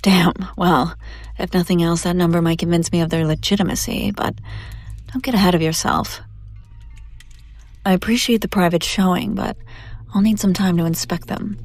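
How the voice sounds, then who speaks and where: A woman playing a haughty, wealthy demon buyer in a scripted audio roleplay, condescending and teasing with drawn-out theatrical delivery. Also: on the low side, fairly even intonation, no room sound, close to an everyday voice. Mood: cool, businesslike.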